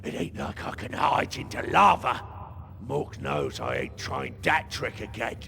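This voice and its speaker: Extremely gravelly Cockney ork underling. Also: male voice, mid-range voice, huge pitch swings, a little slow, sharp tone, exaggerated voice. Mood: sceptical, grumbling. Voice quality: very gravelly, croaking. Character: whiny, dim, doubtful ork underling. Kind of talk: video game cutscene acting. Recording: noisy game battle ambience.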